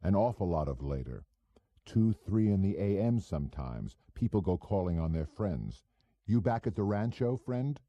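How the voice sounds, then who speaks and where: Man acting a sneering, slangy wise-guy, raised pitch. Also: big pitch swings, moderate pace, no room sound, lo-fi sound. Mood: needling. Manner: slangy, needling, cocky. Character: sneering, talkative wise-guy private operative, male, crude and cynical.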